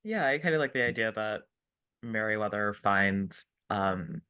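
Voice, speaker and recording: Low-voiced man in a dry-sounding space. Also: expressive, a little slow, sharp tone, clean tone, dry recording. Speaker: tabletop roleplaying game player. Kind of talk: casual improvised description of their character.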